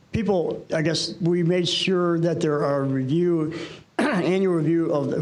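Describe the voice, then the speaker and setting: Man, auditor, going hoarse, hesitant answers, slightly high voice. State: losing his voice, clearing throat. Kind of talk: answering at a public meeting.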